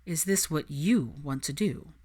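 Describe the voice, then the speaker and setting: A woman voicing a cool, quietly devoted young man in a narrated story, with a dry, understated, assured way of speaking. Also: deep voice, expressive, a little slow, slightly rough, exaggerated voice. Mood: dry, affectionate.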